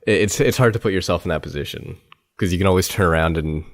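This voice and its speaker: A man's voice: sits low, fast talker. A man hosting an interview podcast, giving many short affirmations and chatting casually with his guest. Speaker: podcast host.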